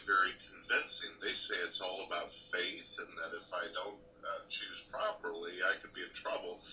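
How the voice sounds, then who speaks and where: Distant, echoey man with a sharp voice. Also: deep voice. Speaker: phone caller. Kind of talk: conversational phone call. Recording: distant, echoey, noisy lo-fi phone recording.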